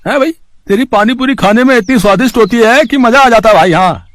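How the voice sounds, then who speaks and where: A man's voice: extremely high pitch, a little fast, a bit bright. A man playing a chatty, familiar food-cart customer in a children's cartoon story. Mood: jovial. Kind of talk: animated children's story dialogue.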